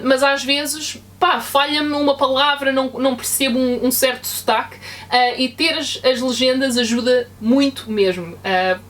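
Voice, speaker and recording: A young woman from Portugal with a European Portuguese accent, chatting casually and answering viewer questions in an unscripted vlog. Her voice sits a little high, and she trips over her words with "ahm" and restarts as she talks. She sounds a little set back from the microphone. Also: a bit bright, recorded from a distance. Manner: chatty, frequent fillers and self-corrections, laughs easily. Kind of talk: casual Q&A chat.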